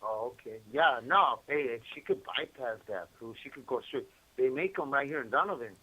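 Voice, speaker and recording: A man's voice: mid-range voice, lively intonation, normal speed, sharp tone, breathy edge, low-quality recording. A man calling by phone from prison, chatting casually in slangy, repetitive bursts. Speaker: incarcerated caller. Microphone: phone call.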